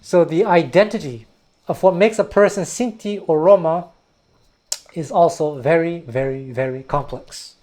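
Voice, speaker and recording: A man's voice: on the high side, normal speed, a little mellow. A man from Quebec whose first language is Taiwanese, giving a thoughtful, digressive educational history talk. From Quebec, Canada. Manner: thoughtful, digressive, often restarts words. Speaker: music teacher and video host.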